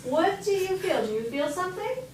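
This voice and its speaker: A woman's voice: very high-pitched, very animated, moderate pace, crowd noise. A woman teacher leading a live class step by step and prompting with questions, recorded in a classroom. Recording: roomy, distant, noisy classroom recording.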